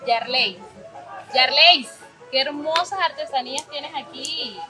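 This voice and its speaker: A woman's voice: high voice, slow pace, a crowd in the background. A woman from Palenque, hosting a travel vlog and speaking straight to her viewers. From San Basilio de Palenque, Colombia. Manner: lively, addresses viewers directly. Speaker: travel vlogger host. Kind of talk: casual travel vlog presenting. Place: outdoors in a village. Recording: outdoor recording with loud music and street noise.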